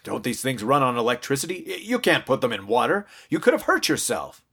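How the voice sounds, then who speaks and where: A protective father from a storybook, acted by a male narrator, speaks plainly and firmly. He sounds exasperated but caring, with very lively intonation. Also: lowish voice, moderate pace. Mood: alarmed, angry.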